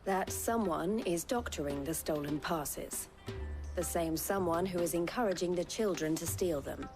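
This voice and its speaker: Fantasy game merchant leader, played by a woman, lowish voice, articulate, composed, dryly teasing, music underneath. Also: close to an everyday voice. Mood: grave, composed. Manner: formal, articulate, measured. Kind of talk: scripted fantasy game dialogue.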